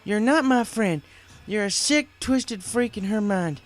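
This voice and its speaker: A fiercely stubborn female farm pony from a story, acted by a male narrator. The voice is pushed higher and sounds firm, protective and threatening, as if standing up to a foe. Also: expressive, a little slow. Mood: defiant.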